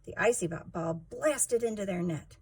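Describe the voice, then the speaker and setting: Female storytime reader, unhurried and expressive, creaky husky tone, rhythmic read of rhyming picture-book lines. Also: mid-range voice.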